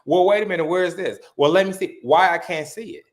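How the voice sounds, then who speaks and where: A man's voice: high-pitched, fast talker. Gossipy man swapping reality TV talk. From US Midwest. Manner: gossipy, animated, acts out quoted voices. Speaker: guest. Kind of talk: casual chat about reality TV.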